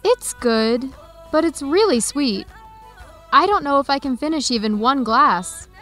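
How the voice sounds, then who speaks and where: A woman playing a cheerful, adventurous young American in a scripted radio drama, speaking clearly and brightly with an American accent. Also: high-pitched, expressive, a little slow, soft background music. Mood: pleased. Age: young adult.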